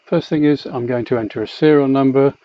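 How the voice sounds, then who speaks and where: A man explaining a technical software demonstration in a methodical, hesitant way, pausing as he goes. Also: mid-range voice, steady tone. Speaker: company executive presenting a product tutorial. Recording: slightly dull, muffled lo-fi voice track.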